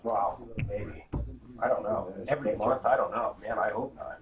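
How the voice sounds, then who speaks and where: A man's voice: lowish voice, normal speed, echoey room, far from the mic, phone-quality sound, music in the background. A man hosting a radio talk show, chatting casually in a blunt, profane, teasing way.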